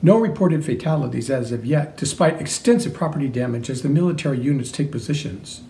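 A man voicing a measured, formal television news reporter covering a live event. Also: medium pitch, animated, dark tone, echoey room, a little hiss or hum, voice pushed down, lightly acted. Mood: urgent. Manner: formal, newsy.

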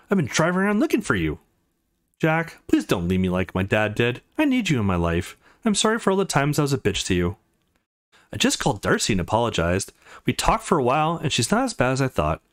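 Man reading as an emotional, worried young woman, swooping pitch. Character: worried, emotional, teasing young women texting a male friend.

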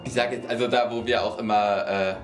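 A man's voice: lowish voice, steady tone, fairly quick. A man in his twenties telling a casual story, rambling and correcting himself as he goes. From rural Germany. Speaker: storyteller in a lie-detection game show. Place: indoor room in front of a screen. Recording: roomy echo, music underneath. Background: music.

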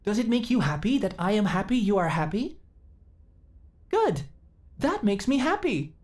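A woman's voice: medium pitch, very animated, normal speed, a little mellow, close to an everyday voice. A woman playing an earnest, naive companion android in a sci-fi ASMR roleplay, speaking in stilted, formal, literal phrases. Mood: childlike delight.

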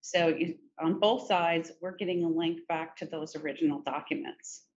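A middle-aged woman presenting a software demo in a conversational, informal step-by-step tutorial style. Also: deep voice, fairly even intonation, moderate pace. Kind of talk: conversational screen-share tutorial. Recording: muffled, noisy screen-recording audio.

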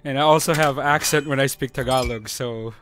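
A man's voice: medium pitch, normal speed, fairly bright tone, a little hiss or hum. A man with a Filipino accent, casually chatting with teammates while streaming a game from home on a desk mic. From Philippines. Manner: laid-back, chuckling, chatty with teammates. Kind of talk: casual gaming stream chat. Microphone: desk microphone.

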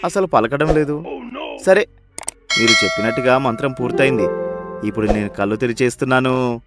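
A man voicing a greedy, gullible, anxious village moneylender in an animated moral story, in a whiny, pleading way. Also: medium pitch, brisk, over loud music, lightly acted. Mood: meek, puzzled.

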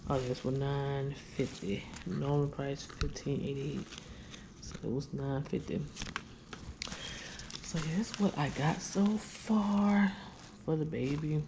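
Tired woman vlogger, gravelly low fry, casual y'all chat, faint room noise. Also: takes it slowly, pauses often. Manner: chatty, tired, addresses viewers as y'all. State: weary. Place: living room, on couch, indoors. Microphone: handheld camera at close range.

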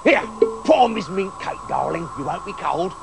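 A man's voice: high-pitched, very animated, normal speed, creaky, loud music behind the voice, exaggerated voice. A man voicing a greedy, bossy stepmother in a storybook tale, brash and commanding.

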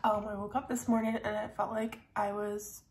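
A young adult woman with a scratchy, sore-throated morning voice, chatting low-key and hesitantly to a camera indoors. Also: little pitch movement, normal speed. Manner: rambling, hesitant. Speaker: vlogger. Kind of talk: casual low-key vlog chat. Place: indoors in an apartment room.

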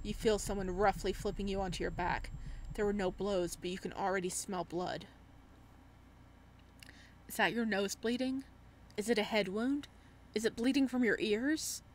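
A woman's voice: medium pitch, normal speed, pauses often, a little hiss or hum. A woman playing a visual novel, reading its text aloud and reacting expressively as she goes. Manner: expressive, animated reactions between read lines. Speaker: streamer, let's-player.

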